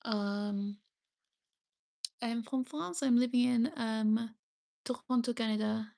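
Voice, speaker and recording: A woman's voice: a little high, fairly even intonation, slow, vocal fry, darkish tone. A young woman chatting casually on a live stream, reading viewer comments and thanking them often, with frequent hesitations. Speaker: livestreamer.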